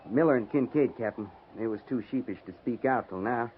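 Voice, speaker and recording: An actor playing a dutiful, plain-spoken American army sergeant in a 1950s radio western, folksy and deferential in a military way. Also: male voice, medium pitch, a little fast, lightly acted. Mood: brisk, dutiful. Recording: muffled, noisy 1950s radio recording.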